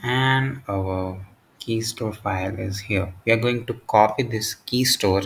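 A man narrating a step-by-step software tutorial in short, halting phrases. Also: on the low side, fairly even intonation, creaky, a little hiss or hum. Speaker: tutorial presenter.

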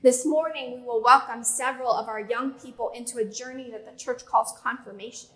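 A woman in her thirties with a high, light voice preaches from the pulpit of a church. She speaks in a measured way, slowing down and pausing to stress key words. Her voice is picked up by a pulpit mic. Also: steady tone, a bit bright, poor audio. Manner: measured preaching with slow emphatic pauses and gestures. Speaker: pastor. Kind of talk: sermon. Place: church sanctuary with pipe organ.

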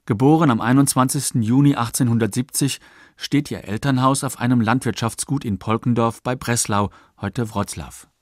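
A man reading scripted radio documentary narration in a measured, articulate way. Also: medium pitch, dry recording, clean studio sound.

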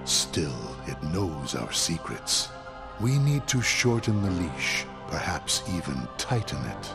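A cold leader of a sinister cloaked order in a video game. His low voice swells and falls in grand, formal phrases, unhurried over background music. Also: very animated, vocal fry, full character voice. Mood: measured menace. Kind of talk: dramatic video game voice acting.

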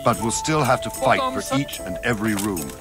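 A grizzled older male soldier for a video game. He is plain-spoken and commanding, with a fatherly firmness. His mid-pitched voice rises and falls animatedly over clearly audible music. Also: wildly swooping pitch, moderate pace. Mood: resolute, instructing. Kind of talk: dramatic video game cutscene acting. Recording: music behind the voice.

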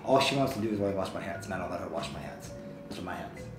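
A man in his thirties, co-host of a couple's vlog, chatting in a jokey, animated way and laughing often. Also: low voice, rapid-fire, echoey room, over music. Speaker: co-host, real estate agent. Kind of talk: casual chat. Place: office with desk and orchids, indoors.

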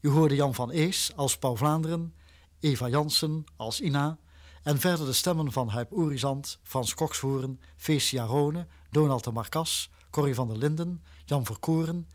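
A man's voice: fairly high pitch, measured pace. A man reading credits as a formal, scripted radio announcer.

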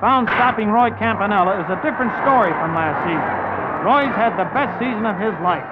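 A man's voice: high-pitched, expressive, a little fast, very noisy. A man working as a reporter, delivering 1950s sports newsreel narration and commentary. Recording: dull vintage 1950s newsreel sound, music behind.

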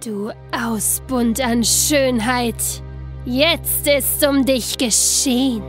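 A woman voicing a vain, scheming evil queen in a fairy tale, with drawn-out theatrical delivery. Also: a little high, fairly even intonation, soft background music.

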